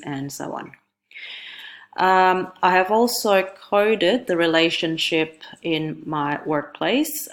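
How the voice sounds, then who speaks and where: A young woman whose first language is Indonesian, giving a careful, measured class presentation on her coursework, with frequent ums and small self-corrections. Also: deep voice. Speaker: student presenting an assignment.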